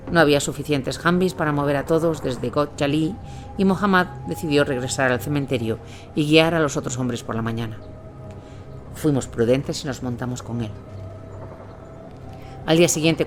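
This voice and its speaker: A male narrator with a mid-pitched voice reads a scripted war chronicle. Clear music runs behind him.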